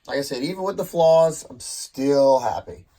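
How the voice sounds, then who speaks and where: A man's voice: medium pitch, moderate pace, warm tone, low-quality recording. A man with a congested, nasal voice casually reviewing a knife in a vlog, rambling a bit and talking straight to his viewers. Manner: rambling, loose, self-correcting, speaks to viewers directly.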